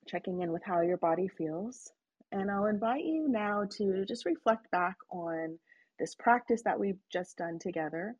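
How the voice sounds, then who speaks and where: A woman hosting an online session, guiding a slow breathing and reflection practice in a soft, inviting manner. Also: mid-range voice, slightly rough, muffled recording. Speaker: host leading a guided breathing session. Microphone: online call.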